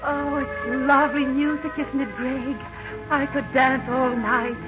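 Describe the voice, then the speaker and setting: A woman's voice: sits high, fairly even intonation, a little slow. Coy young rich girl, old radio sound. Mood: coy, prodding. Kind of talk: 1940s radio acting. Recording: dull old radio recording with music.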